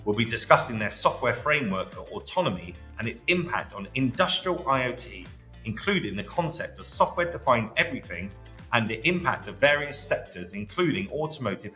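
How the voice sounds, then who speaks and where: A man's voice: lowish voice, sharp tone, echoey room. A man hosting a podcast, speaking as presenter and interviewer. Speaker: podcast host. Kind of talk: scripted podcast intro and interview.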